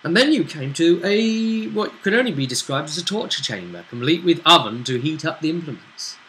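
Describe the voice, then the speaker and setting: Bright-voiced man recapping a tabletop game. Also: fairly high pitch, big pitch swings, fairly quick, poor audio. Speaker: game master and host of the vidcast. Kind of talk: casual recap of a tabletop role-play session. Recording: faint background noise.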